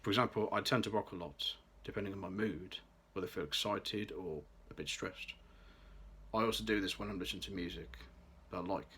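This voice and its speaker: Low-voiced young man, bright tone. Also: fairly quick, husky. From Birmingham, England. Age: late 20s. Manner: careful, read-aloud delivery with occasional restarts. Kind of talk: scripted personal vlog.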